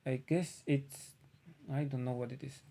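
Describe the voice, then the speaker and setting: A man from Poland with a Polish accent, chatting casually on a live stream as he paints, thinking aloud with frequent pauses. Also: medium pitch, steady tone, vocal fry, mellow.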